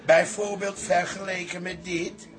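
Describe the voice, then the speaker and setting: A man's voice: high voice, level tone, measured pace, music in the background. An actor playing a sly, jovial elderly father who talks in folksy sayings in a radio comedy. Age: older. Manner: folksy, fond of rhymes and sayings.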